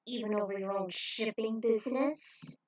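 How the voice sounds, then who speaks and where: A woman's voice: a little high, darkish tone, lightly acted. A woman voicing a sneering, cruel villainess. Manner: slow, sneering, taunting.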